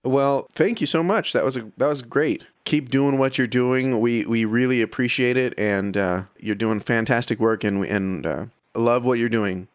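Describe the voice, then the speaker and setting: A man's voice: medium pitch, moderate pace, hardly any pauses, clear, resonant tone, no echo, clean studio sound. A man speaking as a music podcast host and interviewer in a casual, conversational style.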